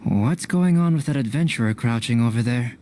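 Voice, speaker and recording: A man voicing a calm, analytical alchemist mentor in a video game, measured and formal, with a caring manner. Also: mid-range voice, a little hiss or hum, lightly acted. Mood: composed, concerned. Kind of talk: animated game dubbing.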